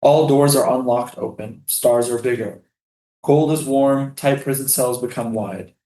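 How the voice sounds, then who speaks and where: A man acts a poetic prisoner in a stage drama. He speaks in a mid-range voice with deliberate, lyrical phrasing and quiet defiance. The room is strongly echoey around him. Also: mid-range voice, fairly even intonation, lightly acted. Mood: poetic, intense. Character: male prisoner Jamil, dreamy, defiant, poetic. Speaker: actor in a staged reading. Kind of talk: theatrical staged reading.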